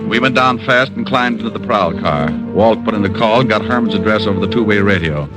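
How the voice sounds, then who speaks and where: A man's voice: lowish voice, over loud music, lightly acted. An actor playing a tough, wisecracking male private detective in an old radio drama, who also narrates the story. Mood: narrating. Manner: wisecracking, quick comebacks. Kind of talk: 1950s radio drama acting.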